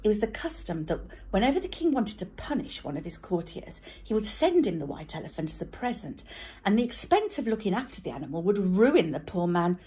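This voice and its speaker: A woman reading a children's story aloud as a teacher, in an expressive narrating style. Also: medium pitch, brisk, slightly rough.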